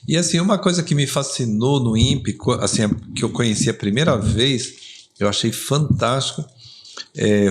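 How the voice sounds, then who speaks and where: An older man from São Paulo with a Brazilian accent, relaxed and chatty as he tells stories, full of fillers and asides. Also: fairly low pitch. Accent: Brazilian Portuguese, São Paulo. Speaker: guest entrepreneur. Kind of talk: casual storytelling interview.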